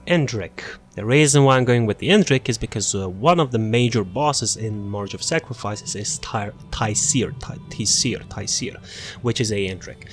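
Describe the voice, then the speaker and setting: A man casually walking through a game character build, halting often with fillers and restarts. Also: lowish voice, soft background music. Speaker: gaming channel host.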